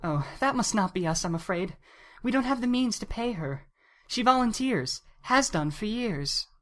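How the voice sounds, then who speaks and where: A woman's voice: medium pitch, lively intonation, moderate pace, close to an everyday voice. A woman playing a kindly pony receptionist in an audio drama, polite, helpful and apologetic. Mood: grateful, modest.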